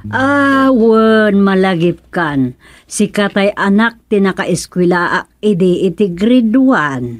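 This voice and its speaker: A woman playing a plain-spoken, measured village housewife in a radio comedy-drama. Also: mid-range voice, animated, faint music behind.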